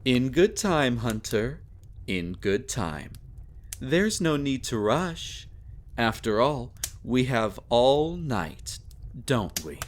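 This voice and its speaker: A man's voice: mid-range voice, very animated, takes it slowly, a little hiss or hum. A man playing a suave, sadistic vampire lord in a roleplay audio, speaking in a theatrical, courtly and teasing way with seductive menace. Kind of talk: scripted roleplay audio, intimate dramatic monologue.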